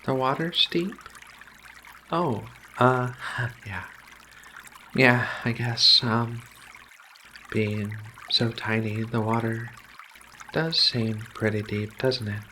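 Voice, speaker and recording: A man voicing Ragna's kind of figure, a gentle, caring giant female feline alien researcher, in a soothing, hesitant ASMR roleplay delivery. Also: medium pitch, slow, pauses often, a little hiss or hum. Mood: reassuring.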